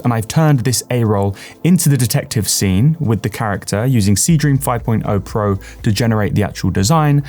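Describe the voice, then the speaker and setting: Male tutorial presenter, medium pitch, step-by-step explanations, stressed phrase openings. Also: a little mellow. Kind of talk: instructional software walkthrough.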